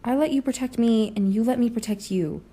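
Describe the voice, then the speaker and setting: A woman's voice: fairly high pitch, fairly quick, dark tone, close to an everyday voice. A woman playing a stubborn, blunt young reporter with no powers in an audio drama, often sarcastic.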